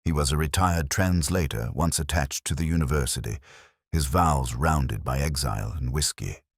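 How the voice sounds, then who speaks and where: A man playing a weary, brooding police inspector who narrates a mystery in a measured, literary, melancholy manner. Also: rumbling low, husky, no room sound, clean studio sound, full character voice. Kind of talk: scripted first-person mystery narration.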